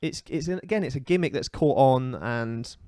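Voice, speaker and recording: A man's voice: medium pitch, animated, normal speed, mellow, clear, resonant tone, no echo. Emphatic British man, close dry sound. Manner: emphatic, repeats himself, complaining. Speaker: podcast co-host. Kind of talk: casual opinionated gaming chat.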